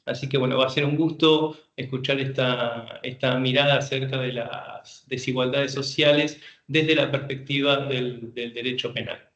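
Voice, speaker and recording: A man's voice: medium pitch, steady tone, moderate pace, hardly any pauses, a bit bright. Man introducing a speaker in an echoey room. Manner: reads a bio, then loose and informal. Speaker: seminar moderator. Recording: echoey room, muffled lo-fi sound.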